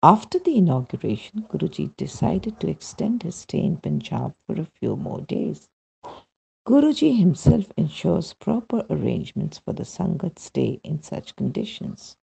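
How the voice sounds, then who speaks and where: Male narrator for devotional readings: very raspy and creaky, lively pitch movement, measured scripted delivery. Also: rough recording. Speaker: reader of a devotional testimonial. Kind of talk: scripted narration, read-aloud spiritual testimonial.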